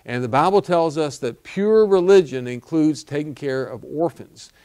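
A man's voice: a little high, normal speed, warm tone. Older male pastor, earnest fundraising appeal, tags lines with "okay?". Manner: earnest, repeats "okay?" and "all right?". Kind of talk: appeal for donations. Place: studio set with wooden wall backdrop.

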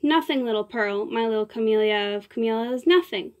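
A woman's voice: fairly high pitch, a little fast, lightly acted. A woman reading aloud voices an exacting older male theater mentor who coaxes with pet names. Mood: coaxing. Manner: coaxing, endearing, quietly critical.

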